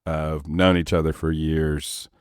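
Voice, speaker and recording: A man in his fifties hosts with an easygoing, self-deprecating manner. His voice is exceptionally deep, and the sound is dry and close. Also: level tone, measured pace, clean tone, no echo. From Texas, United States. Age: 50s. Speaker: podcast host. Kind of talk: casual interview chat.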